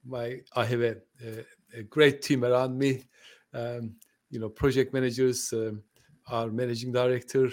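A man's voice: mid-range voice, level tone, a little slow, a little mellow. Hesitant Turkish-born man in interview. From Turkey. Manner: hesitant, many fillers and restarts, friendly. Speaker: podcast guest, translation company owner. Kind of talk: conversational interview. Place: lives in the UK.

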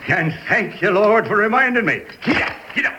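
An actor playing a gleeful, sly Old West swindler in a radio drama, with a folksy American way of talking. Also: male voice, high-pitched, animated, moderate pace, sharp tone. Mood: scheming, exultant. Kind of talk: 1970s Western radio drama acting. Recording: slightly noisy old radio broadcast.

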